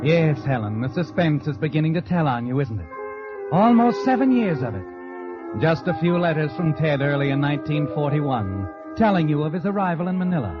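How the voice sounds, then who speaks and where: An actor playing a sardonic, knowing narrator in 1940s radio suspense, insinuating and theatrical. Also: male voice, fairly high pitch, animated, a little fast, close to an everyday voice. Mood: knowing, suspenseful. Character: sardonic, knowing male narrator addressing the heroine. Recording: dull vintage radio recording. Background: dramatic music.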